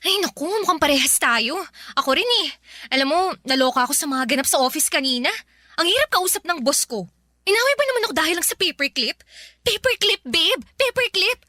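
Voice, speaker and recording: Intense, chatty young Filipina girlfriend, radio drama, high bright voice, expressive and nagging. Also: brisk, no echo, studio quality. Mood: exasperated. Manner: expressive, nagging, exasperated exclamations. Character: emotional, chatty, astrology-obsessed girlfriend who loves intensely.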